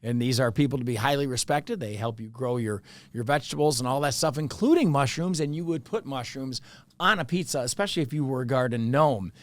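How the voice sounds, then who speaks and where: A man's voice: medium pitch, a little fast, no echo. Jokey man with a Chicago accent. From Evanston, Illinois, US. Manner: rambling, jokey, full of fillers. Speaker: podcast host. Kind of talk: casual comedy chat.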